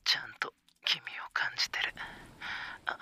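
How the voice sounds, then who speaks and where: A man voicing a tender, teasing young male lover in an intimate ASMR roleplay, speaking in soft, coaxing whispers. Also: measured pace. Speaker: voice actor in an adult audio drama.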